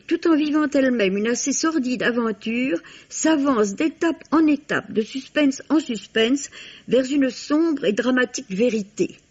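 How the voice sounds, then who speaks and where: A woman's voice: high-pitched, a little mellow, breathy edge. A woman reading a scripted book review for 1950s French radio, with a literary storytelling delivery. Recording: dull vintage radio recording.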